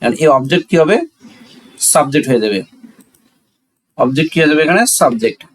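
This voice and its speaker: A man's voice: fairly high pitch, animated, fairly quick, husky, rough recording. A man with a Bangladeshi accent teaching a grammar lesson step by step, often posing questions and answering them himself. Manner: instructional, asks and answers own questions, switches between Bengali and English. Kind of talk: classroom-style lesson, explaining step by step.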